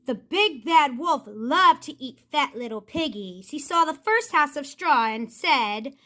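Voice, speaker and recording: A woman's voice: sits high, expressive, unhurried, bright, forceful tone. A woman storyteller narrating expressively, as in a puppet show for children.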